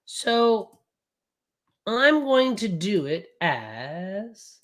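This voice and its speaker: Brash, loud-voiced man coaching traders. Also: high voice, sing-song, background noise. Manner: loud, brash and profane, says it's a loud voice; casual, fast and rambling, with frequent "right?". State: recovering from a cold, voice not fully back. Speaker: day trading coach. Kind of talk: live online trading class.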